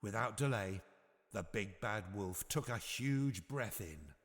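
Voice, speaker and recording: A man narrating a scripted bedtime story for children in an expressive, measured storytelling manner. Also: on the low side, long reverb tail.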